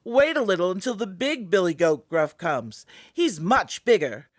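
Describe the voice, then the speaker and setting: Middle billy goat, male, earnest storybook pleader, pitched up, dry sound female narrator acting. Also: big pitch swings, normal speed, no room sound. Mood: pleading. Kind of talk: storybook character voice.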